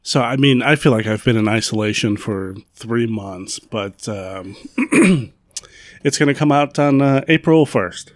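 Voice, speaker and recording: Man, raspy voice, monotone, deadpan, podcast co-host, dry sound. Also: medium pitch, measured pace, no echo. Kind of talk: casual chat.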